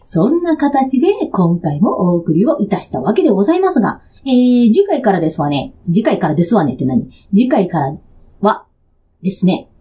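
A woman's voice: mid-range voice, big pitch swings, moderate pace, dark tone. A woman hosting a radio show in a chatty, playful and giggly way.

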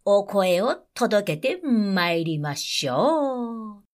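A woman's voice: mid-range voice, lively intonation, very slow. A woman hosting a radio show, chatting politely and casually as she introduces what comes next.

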